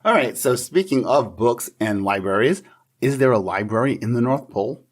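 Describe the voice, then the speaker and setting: A man's voice: medium pitch, animated, moderate pace, breathy edge. A man hosting a podcast, interviewing in a polite, playful, partly scripted style.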